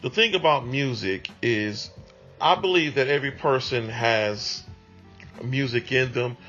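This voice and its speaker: A man speaks in a mid-range voice, recalling things in a relaxed interview manner with plenty of ums and pauses. The recording sounds a little muffled, with soft music playing underneath. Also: mid-range voice. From Detroit, USA. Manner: unhurried, conversational, with many ums. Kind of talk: reflective interview answers.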